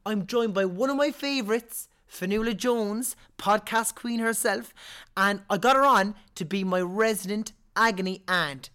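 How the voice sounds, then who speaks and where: A man with a Cork Irish accent, hosting a podcast in a chatty, animated way, his voice hoarse from singing all weekend. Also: high voice. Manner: chatty, animated, quick to laugh. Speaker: podcast host. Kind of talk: casual chat.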